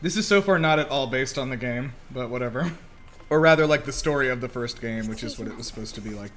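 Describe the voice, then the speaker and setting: A man's voice: medium pitch, fast talker, a bit bright, faint music behind. A man giving casual, chatty reaction commentary with exclamations and plenty of "like". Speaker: movie reaction host.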